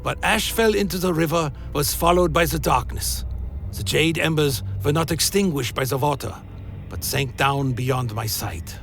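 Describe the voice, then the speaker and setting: Gravelly, solemn vampire narrator. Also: male voice, a little high, fairly bright tone, a little hiss or hum. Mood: solemn, brooding. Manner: solemn, literary, deliberate phrasing. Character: weary undead male vampire wanderer narrating his journey. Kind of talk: dramatic first-person scripted narration, dark fantasy radio play. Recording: music behind the voice.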